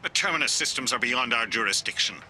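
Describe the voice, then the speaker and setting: This is a scornful alien councilor in a sci-fi game. His voice is piercingly bright and forceful at a middling pitch. He talks with clipped, condescending formality. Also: lively intonation, quick pace, a little hiss or hum. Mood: stern. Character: dismissive, haughty male alien councilor. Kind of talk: video game dialogue acting.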